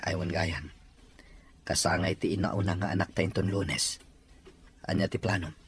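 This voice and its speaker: A man's voice: low voice, fairly even intonation, normal speed, slight background noise, natural voice. A man playing a hardworking, exasperated husband and father in an audio drama, sounding weary and defensive. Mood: calmer. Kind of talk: amateur audio drama dialogue.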